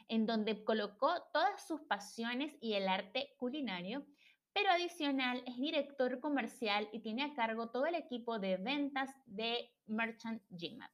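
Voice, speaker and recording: A woman's voice: fairly high pitch, lively intonation, measured pace. A woman hosting a podcast, reading a formal introduction and welcoming her guest. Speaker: podcast host. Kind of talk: scripted intro then interview hosting.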